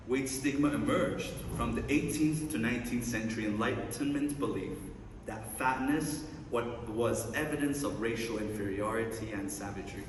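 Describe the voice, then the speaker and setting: A man's voice: fairly low pitch, noisy background. A young man from Iran gives a conference presentation, upbeat with playful asides between slide points. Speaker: student researcher presenting. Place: large lecture hall. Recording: echoey hall, mic at a distance.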